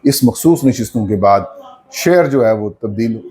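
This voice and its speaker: A man with a Pakistani accent and a fairly low voice delivers political news commentary. He speaks without a script, laying out details in an explanatory way. His phrases come in pieces, with frequent pauses as he goes. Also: animated, a little mellow.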